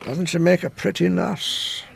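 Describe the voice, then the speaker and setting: A man's voice: sits high, little pitch movement, measured pace, vocal fry, a little hiss or hum, exaggerated voice. A man playing a cheeky, blustering Scottish man in his late fifties in a radio drama, hearty and teasing.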